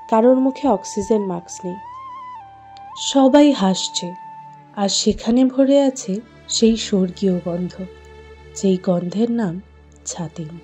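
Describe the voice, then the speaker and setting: A woman's voice: a little high, mellow. A woman reading a scripted story as its narrator.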